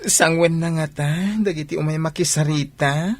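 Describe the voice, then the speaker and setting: A man's voice: on the high side, steady tone, moderate pace, natural voice. A man playing a worried young groom-to-be in an Ilocano radio comedy drama. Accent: Filipino, Ilocano.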